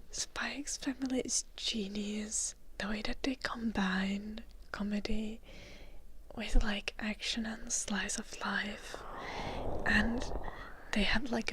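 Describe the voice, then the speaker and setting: A woman's voice: fairly high pitch, noisy background. A woman from Finland, a native Finnish speaker, whispering casual, rambling ASMR chat to her listeners as a live streamer.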